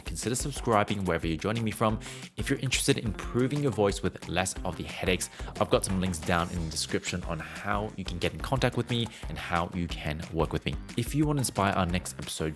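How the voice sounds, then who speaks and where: A man's voice: low voice, fairly bright tone. A young man teaching singing to camera, explaining in an earnest way with frequent fillers.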